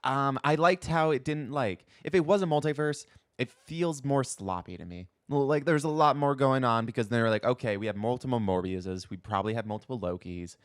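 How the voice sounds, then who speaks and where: A man's voice: mid-range voice, fairly quick, no room sound, studio quality. A man co-hosting a podcast, chatting in an informal, conversational way. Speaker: podcast co-host. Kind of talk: casual chat.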